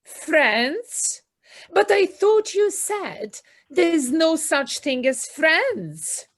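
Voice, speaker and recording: Woman as an earnest, high-voiced little mole. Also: sing-song, extremely slow, fairly bright tone, exaggerated voice. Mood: defiant. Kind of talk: audio play acting.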